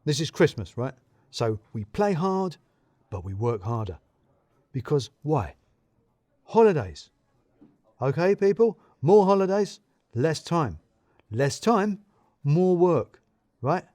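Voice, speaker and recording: A man's voice: a little high, very animated, slow pace, pauses often, mellow, dry recording, clean studio sound, pitched above the performer's own voice. A man voicing a self-important male office boss in an audiobook story, speaking in a pompous, emphatic, jargon-heavy way. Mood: rallying, bossy.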